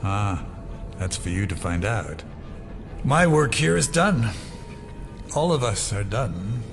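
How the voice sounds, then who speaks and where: Sly syndicate clone, low lively voice, wry, teasing, fatalistic, audio drama with music. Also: male voice, takes it slowly, creaky, heavily acted. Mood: smug, resigned.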